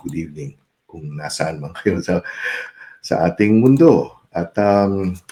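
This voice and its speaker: Guy hosting a podcast, low mellow voice, lots of uh and um, switches between english and tagalog. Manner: mixes English and Filipino, relaxed, chuckling, many fillers. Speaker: podcast host. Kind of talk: casual interview chat. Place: New Zealand.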